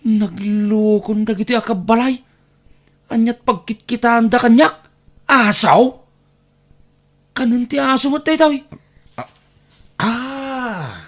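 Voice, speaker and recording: A man's voice: very high-pitched, full character voice. A man playing a put-upon young nephew in an audio drama, plaintive and exclamatory, with an Ilocano accent. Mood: dismayed.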